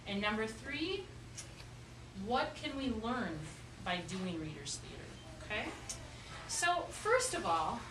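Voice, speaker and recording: A woman teaching young children in a classroom, patiently asking questions and repeating their answers back. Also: medium pitch. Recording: echoey classroom, distant mic, noisy.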